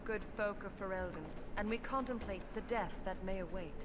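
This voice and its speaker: An actress playing a priestess who blesses soldiers before battle in a fantasy video game, speaking slowly and solemnly. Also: female voice, medium pitch, natural voice. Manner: slow, solemn, declaiming. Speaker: priestess addressing troops. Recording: game audio with clear background noise.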